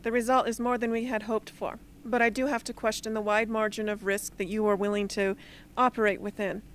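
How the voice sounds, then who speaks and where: A woman's voice: medium pitch, flat delivery, fairly quick, slight background noise, lightly acted. A woman playing a stern, formal rebel leader with an authoritative manner in an amateur radio drama. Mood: cool, questioning.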